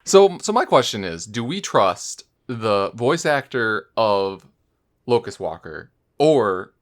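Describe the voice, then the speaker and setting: Male podcast co-host: lowish voice, very varied melody, halting, questioning, conversational. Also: very animated. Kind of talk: casual podcast discussion.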